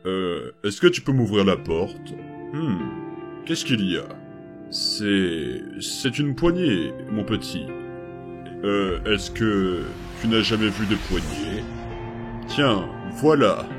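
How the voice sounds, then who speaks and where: A man voicing a patient adult male skeleton who looks after strange children, speaking softly and haltingly with lots of small pauses. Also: fairly low pitch, sing-song, over music. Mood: gentle. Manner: hesitant, soft-spoken, full of small pauses and fillers. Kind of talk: fan comic dub voice acting.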